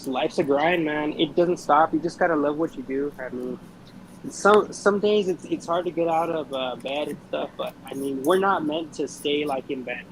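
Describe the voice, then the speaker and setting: A young man in his twenties talks quickly and earnestly, tripping over the start of his words with a slight stutter. His voice sits a little high for a man, and a steady hiss of noise runs under the recording. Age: 20s. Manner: fast-talking, earnest, repeats "man" and restarts words. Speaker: guest reptile breeder. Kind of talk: casual podcast chat. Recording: noisy podcast recording.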